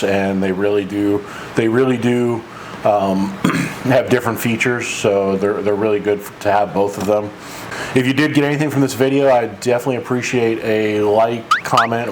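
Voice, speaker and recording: Low-voiced man explaining, with noisy background hum. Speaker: boating channel host. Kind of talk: informal explainer talk to camera.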